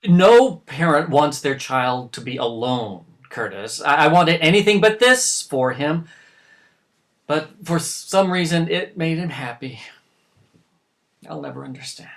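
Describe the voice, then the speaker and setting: A man's voice: a little high, expressive, fairly bright tone. Man playing a stern, defensive middle-aged father. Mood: stubborn, sorrowful. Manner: defensive, halting. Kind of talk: dramatic dialogue acting.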